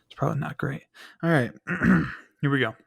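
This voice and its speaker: Creaky, bright-voiced guy in a dry booth. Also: fairly high pitch, moderate pace, dry recording. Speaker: voice actor. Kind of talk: casual outtake, muttering to self before a take.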